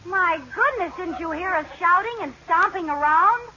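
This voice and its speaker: A high-pitched young housewife from a golden-age radio sitcom. She is dryly funny and easily alarmed. The old recording is dull and clearly noisy. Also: expressive, normal speed. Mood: earnest, explaining. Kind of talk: 1940s-50s radio sitcom acting.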